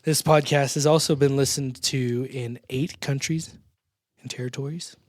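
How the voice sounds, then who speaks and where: A man's voice: mid-range voice, steady tone, moderate pace, darkish tone, no echo, clean studio sound. A man hosting a small church podcast in casual chat, laughing often and restarting his sentences. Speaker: podcast host.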